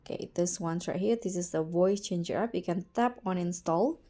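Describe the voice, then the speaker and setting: A woman narrating a casual how-to tutorial as its host, in a halting way with repeated and corrected words. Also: fairly low pitch, mellow.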